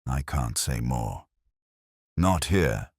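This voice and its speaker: A man voicing a weary, anxious female shop worker in a soft, hushed, hesitant way for a mystery story. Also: extremely low pitch, lively intonation, drawn-out words, husky, no room sound, studio quality, voice pushed down, heavily acted. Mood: weary, pleading.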